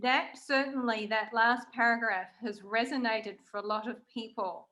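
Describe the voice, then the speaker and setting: A woman with a fairly high voice hosts an informal interview. She talks in a conversational, unscripted way, as a writer chatting with a guest. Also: steady tone, normal speed, pushed and bright, rough recording. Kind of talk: casual interview chat.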